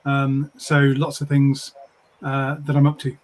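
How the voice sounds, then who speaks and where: A man's voice: mid-range voice, monotone, unhurried. A man, an academic, talking informally as a panelist in an online discussion. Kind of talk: informal self-introduction.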